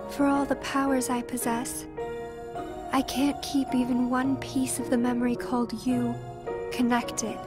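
A woman performs a young girl in a video game, gentle and grave. Her high voice is soft-spoken and measured, carrying quiet sorrow. Sad music plays loudly beneath it. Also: close to an everyday voice. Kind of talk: dramatic game cutscene acting. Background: loud sad music.

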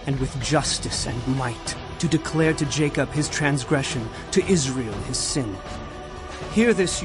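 A man acting an impassioned biblical prophet in an audio drama. His voice sits a little high for a man, and he declaims solemnly and sternly against corrupt rulers. Music plays clearly behind him. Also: natural voice. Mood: indignant. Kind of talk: dramatized Bible reading.

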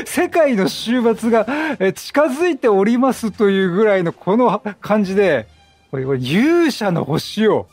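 High-pitched, animated male game commentator. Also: measured pace. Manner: excitable, repeats phrases, reacts aloud. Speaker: game streamer. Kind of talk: casual, chatty game commentary. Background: game music.

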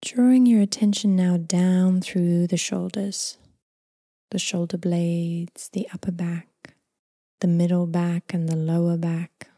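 A woman leading a guided body scan meditation in a soft, breathy, near-whispered voice, gentle, unhurried and soothing. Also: fairly low pitch, no room sound, studio quality. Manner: gentle, unhurried, calming delivery with long phrases trailing off.

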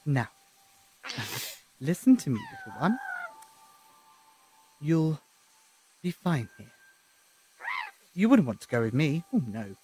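A man acting a gentle, paternal, eccentric time-travelling Doctor in an audio drama, with measured, theatrical delivery and pauses. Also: fairly high pitch, very animated, a little hiss or hum, poor audio. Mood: tender, wry.

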